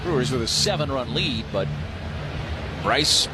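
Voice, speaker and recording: A man's voice: medium pitch, expressive, a little slow, over loud music. A man doing live radio play-by-play commentary as a baseball announcer.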